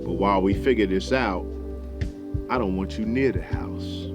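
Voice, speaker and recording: A man voicing a stern plantation master in a told story, speaking slowly and coolly with command. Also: fairly low pitch, lightly acted. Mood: cold, accusing. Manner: slow, cool, commanding. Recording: music behind the voice.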